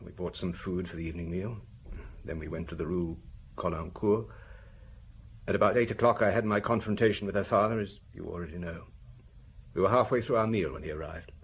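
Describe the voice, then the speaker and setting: A man acting a troubled, tipsy murder suspect confessing haltingly in a British radio drama, with a British accent. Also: sits low, steady tone, slight background noise. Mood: wistful, troubled. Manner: hesitant, halting, rambling.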